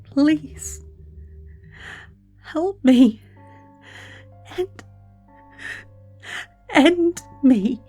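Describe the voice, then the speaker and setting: A woman playing a gravely wounded angel in an audio drama, pleading in faint, broken words as she gasps in pain. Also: sits high, animated, drawn-out words, pauses often, dark tone, heavily acted. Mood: desperate, begging. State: in pain, gasping. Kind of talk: dramatic audio fiction acting. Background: music.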